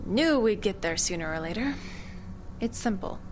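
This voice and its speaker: A woman's voice: mid-range voice, animated, normal speed, close to an everyday voice. A woman playing a cool, shrewd government agent in an audio drama, with a controlled, sardonic, evasive manner. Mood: matter-of-fact, persuasive. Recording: clear ambient noise behind dialogue.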